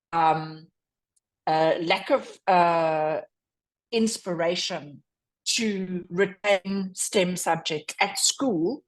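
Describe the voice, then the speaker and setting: A woman with a South African accent, answering as a panelist in a slow, measured, thoughtful way. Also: lowish voice.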